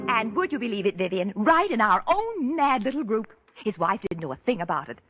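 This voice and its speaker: An actress in an old-time radio drama playing a brisk, nosy society hostess, gushing and bossy. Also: female voice, a little high, big pitch swings, soft background music. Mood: chatty. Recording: muffled old radio recording.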